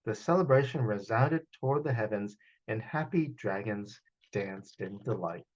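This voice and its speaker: A man reading a children's story aloud in an expressive, animated storytelling style, with dramatic gasps and sound effects. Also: lowish voice, moderate pace, muffled recording. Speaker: children's author reading his book aloud. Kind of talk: animated picture-book storytelling.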